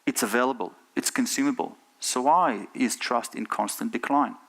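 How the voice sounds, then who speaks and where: An Israeli-accented man of middle age speaks from a conference stage at an ordinary pitch. He talks earnestly and keeps putting rhetorical questions to the audience. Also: normal speed, gravelly. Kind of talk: keynote talk.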